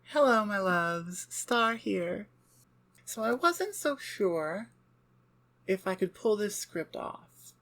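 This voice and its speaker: Chatty woman with a gravelly voice. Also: medium pitch, lively intonation, fairly bright tone. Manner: quick, chatty, self-deprecating. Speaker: ASMR audio creator and channel host. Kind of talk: casual chat.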